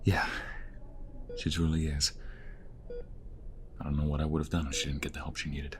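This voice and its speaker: A man's voice: rumbling low, little pitch movement, moderate pace, noisy background. A man playing a devoted, protective mobster lover in an ASMR roleplay, pleading emotionally and intimately with the listener. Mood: quiet, worried.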